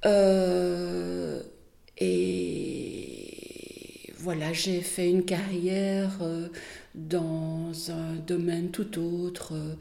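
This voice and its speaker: A woman speaks slowly and thoughtfully, her voice low and mellow. She pauses often with little fillers as she recounts her life. The sound carries a noticeable room echo. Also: creaky. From Belgium, Wallonia. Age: 60s. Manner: measured, hesitant with frequent fillers. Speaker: interviewee, artist. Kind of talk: reflective personal testimony.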